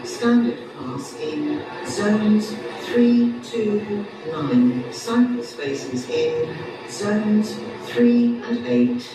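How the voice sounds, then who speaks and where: A woman delivers formal train announcements at a slow, deliberate pace. Her voice is heard from far off through a heavily echoing station PA. She reads each item as a scripted public notice. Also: mid-range voice. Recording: echoey, distant station PA with music.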